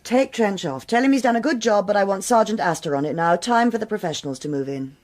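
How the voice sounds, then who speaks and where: An actress playing an authoritative senior police officer giving clipped orders in a radio crime drama. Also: female voice, medium pitch, expressive, fast, a little mellow, lightly acted. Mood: brisk. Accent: British English. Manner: crisp, clipped commands. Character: brisk, authoritative woman police chief.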